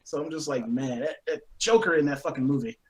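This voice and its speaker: A man co-hosting a casual chat, retelling things in an animated way and swearing freely. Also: fairly high pitch, a little fast, over a noisy crowd. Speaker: podcast co-host.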